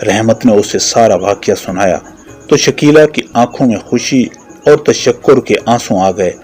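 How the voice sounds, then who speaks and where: A man's voice: fairly low pitch, animated, measured pace, darkish tone, breathy edge, soft background music. A man narrating a scripted moral story for a children's cartoon.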